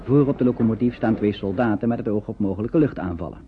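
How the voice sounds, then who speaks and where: A man acting as the wry narrator of a radio drama, with a husky voice. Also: lowish voice, fast, a little hiss or hum, lightly acted. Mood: tense storytelling. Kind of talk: scripted radio play narration. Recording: dull old radio recording.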